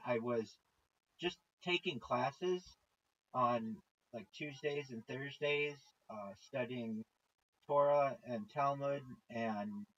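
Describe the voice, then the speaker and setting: A man, a rabbi, talking casually and haltingly, with many pauses and fillers. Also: medium pitch, fairly bright tone, lo-fi sound. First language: not Hebrew. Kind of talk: casual chat while playing golf.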